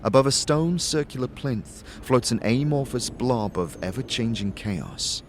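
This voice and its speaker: A man giving dramatic scripted second-person narration for a fantasy audio series, in a measured, theatrical storytelling manner. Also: mid-range voice, lively intonation, a little hiss or hum. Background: music.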